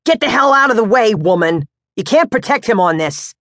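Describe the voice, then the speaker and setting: A woman's voice: on the high side, expressive, measured pace, no echo, studio quality, pitched above the performer's own voice. Woman doing a raging blustery father. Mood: enraged yelling. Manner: shouting, cursing, explosive. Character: furious blustering middle-aged father, hot-tempered.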